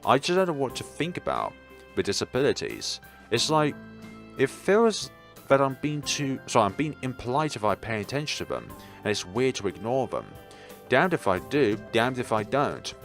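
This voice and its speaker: A man's voice: mid-range voice, sing-song, normal speed, faint music behind. A man reading the part of an awkward, self-conscious teenage male student in a visual novel, speaking hesitantly. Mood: hesitant, uneasy. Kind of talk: visual novel dialogue read aloud.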